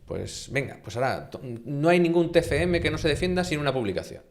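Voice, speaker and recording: A middle-aged man from Spain with a Castilian accent, a university vice-rector speaking as an interview guest, emphatic and animated, often posing rhetorical questions. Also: on the high side, brisk. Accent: Castilian Spanish. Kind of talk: conversational interview.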